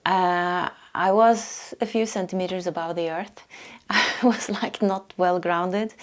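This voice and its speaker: A middle-aged woman from Norway with a Norwegian accent, a yoga teacher speaking softly and thoughtfully in a portrait interview, pausing to find her words. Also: lowish voice, gravelly. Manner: soft-spoken, thoughtful, pauses to search for words. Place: yoga retreat.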